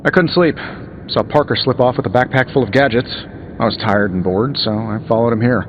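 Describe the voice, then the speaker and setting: An actor playing a cocky, glib billionaire inventor superhero in a video game, teasing and self-assured. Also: male voice, medium pitch, a little fast, slight background noise, lightly acted. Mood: casual, guarded. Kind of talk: video game dialogue acting. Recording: dull muffled game capture, music behind.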